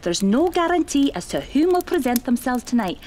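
Lively, genteel seasoned medium played by a woman. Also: sits high, slight background noise. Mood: spirited. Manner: genteel, measured, dramatic storytelling. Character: seasoned, genteel female spiritualist medium, confident and theatrical. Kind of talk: radio play acting.

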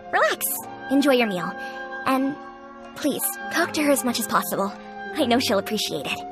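An actress playing a friendly, talkative young restaurant owner in a video game, speaking in a confiding way. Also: female voice, fairly high pitch, natural voice. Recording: game audio with music bed.

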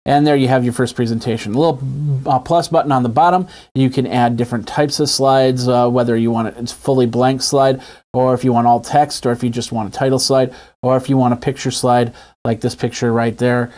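A man's voice: medium pitch, steady tone, fairly quick, darkish tone. A man hosting an app tutorial in a casual, conversational, step-by-step way. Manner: conversational, informal, explains step by step. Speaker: host of an app review web show. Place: small indoor home studio. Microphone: lapel mic.

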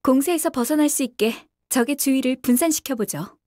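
Casting: actress for young female tactical announcer in a game, very high voice, polite, composed military formality, dry studio sound. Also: a little fast, darkish tone, no echo, close to an everyday voice. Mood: analytical. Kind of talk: scripted game voice acting, tactical radio briefing.

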